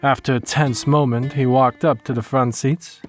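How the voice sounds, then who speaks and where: A male narrator tells a western horror story in the first person. His mid-pitched delivery is measured and folksy, with plucked guitar playing behind him. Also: fairly even intonation. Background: plucked guitar music.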